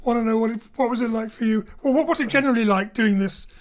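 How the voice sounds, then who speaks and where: A man's voice: very high-pitched, quick pace. A male director wraps up an episode of a radio drama in a chatty way, often restarting his sentences as he talks. He speaks on a studio mic at home over a live internet link. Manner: hesitant, chatty. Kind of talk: casual outro chat.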